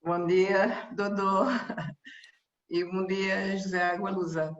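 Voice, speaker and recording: A woman from Cape Verde, the technical director of a social care charity, giving a personal testimony in a hesitant way, full of pauses and restarts. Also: medium pitch, level tone. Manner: hesitant, many fillers and restarts. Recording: echoey, muffled room sound.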